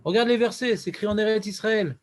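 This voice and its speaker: Rabbi, man, high voice, lively questioning teacher, quotes. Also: quick pace, background noise. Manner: lively, questioning, quotes Hebrew verses in a chant-like cadence. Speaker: rabbi teaching a Talmud class. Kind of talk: Talmud lesson.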